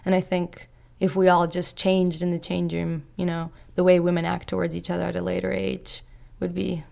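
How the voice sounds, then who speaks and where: A young woman talks in a low, gravelly voice full of fry, her pitch staying flat across long stretches. She speaks reflectively, with plenty of "like" and "you know". Her is Serbo-Croatian. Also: moderate pace. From Canada. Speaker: podcast guest. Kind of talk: casual interview chat.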